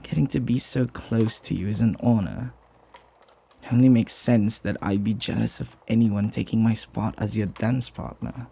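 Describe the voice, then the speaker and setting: Man playing a flirty butler, breathy and low. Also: steady tone. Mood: adoring, flustered. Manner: soft, teasing, tender, hesitant pauses. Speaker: voice actor. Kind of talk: ASMR romantic roleplay.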